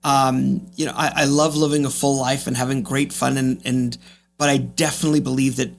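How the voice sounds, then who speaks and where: A man's voice: mid-range voice, little pitch movement, fairly quick, creaky. A man in his fifties speaking as an interview guest, reflective and emphatic, repeating phrases for effect. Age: 50s. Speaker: podcast guest, real estate agent.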